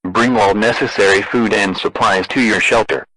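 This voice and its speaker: A man with a fairly low but bright, forceful voice delivers a scripted emergency alert. His delivery is formal and deadpan, like an official announcer. The sound is very dry, with no room around it. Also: normal speed, no room sound, poor audio. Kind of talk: scripted emergency broadcast narration.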